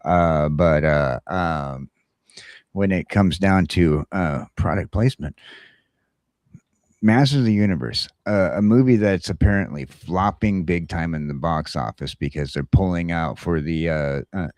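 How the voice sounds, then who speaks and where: A man's voice: low-pitched, a little slow, a little mellow, no echo, studio quality. A man hosting a casual talk show, rambling along and repeating phrases for emphasis. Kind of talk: casual chat.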